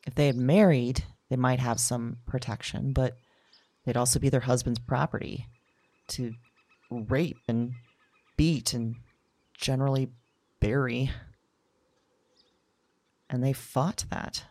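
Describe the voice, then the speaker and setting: A woman playing a weary, wry modern woman in an intimate audio drama monologue, speaking in a reflective, halting, confiding way. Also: a bass voice, fairly even intonation, a little mellow, no room sound, heavily acted. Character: weary, wry, candid modern woman recounting her dreams.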